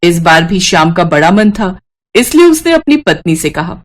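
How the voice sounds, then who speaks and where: A woman narrating a scripted devotional moral story in an expressive storytelling style, with music behind her. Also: fairly low pitch, moderate pace.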